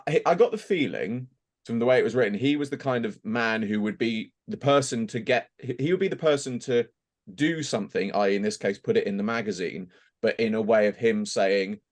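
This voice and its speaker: A man's voice: mid-range voice, fairly quick. A man chatting casually on a podcast as a voice actor guest, rambling a little and hesitant as he thinks aloud.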